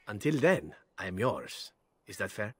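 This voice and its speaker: Husky, lively, sly elf assassin. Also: male voice, fairly low pitch, measured pace, no room sound, exaggerated voice. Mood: lightly playful. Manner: smooth-talking, playful, formal phrasing. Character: suave, sly male elf assassin, candid and wry.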